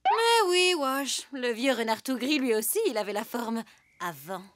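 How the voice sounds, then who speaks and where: A woman's voice: fairly high pitch, expressive, a little slow, fairly bright tone, no room sound. A woman voicing a teasing, smug cartoon animal who talks in a mocking, offhand way. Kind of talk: French animated children's cartoon dialogue.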